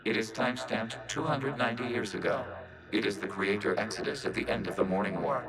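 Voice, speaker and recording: Deep, measured, logical robot. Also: male voice, gravelly, low-quality recording. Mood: matter-of-fact. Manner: measured, formal, precise, clinical. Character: calm, logical male robot of a networked AI race. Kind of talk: video game character acting.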